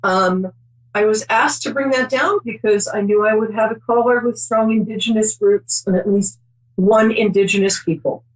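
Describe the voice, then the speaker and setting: A woman's voice: mid-range voice, normal speed, muffled recording. A middle-aged woman hosting a call-in psychic show, chatty and reassuring, rambling with many ums.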